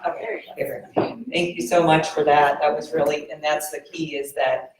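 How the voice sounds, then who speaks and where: A woman's voice: low-pitched, little pitch movement, normal speed, slight background noise. A woman oncologist moderating and giving a conference talk, chatty with many fillers, laughing at herself. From United States. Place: conference hall. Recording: roomy hall sound.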